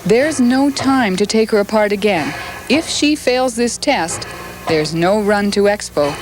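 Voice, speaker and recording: A woman reads documentary narration in an even, measured way. Her voice sits in a middle pitch, and music plays clearly beneath her. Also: rough recording. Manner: calm, measured storytelling. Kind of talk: scripted narration.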